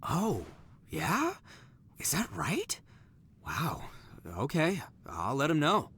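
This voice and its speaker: Brash, bright-voiced young guy. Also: a little high, wildly swooping pitch, slow pace, slight background noise, heavily acted. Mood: hearty. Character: boisterous, brash young man with a big appetite. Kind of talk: video game voice acting.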